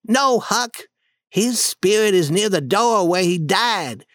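Bold eager young boy, put on by a reader, storybook, high lively voice, confident, persuasive. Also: takes it slowly, dry recording.

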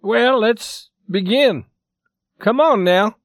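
Man doing a grumpy young guy in a story, high voice, blunt and fed up, dry sound. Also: slow, no room sound. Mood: challenging. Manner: challenging, exasperated. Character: blunt, irritable young son of a hotel owner.

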